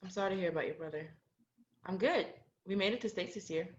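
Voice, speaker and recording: A young actress playing an upbeat, supportive teammate in a drama, speaking encouragingly. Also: female voice, lowish voice, fast, a little hiss or hum, close to an everyday voice. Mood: friendly. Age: young adult. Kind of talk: drama dialogue. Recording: dull, slightly lo-fi audio.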